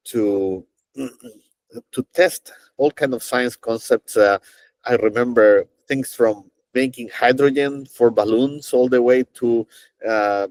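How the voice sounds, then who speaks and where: Man, middle-aged, halting interview guest, dry close mic. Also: mid-range voice, darkish tone, dry recording. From Chile. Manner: halting, many fillers and restarts, storytelling. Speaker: NASA engineer, guest. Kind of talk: conversational interview answers.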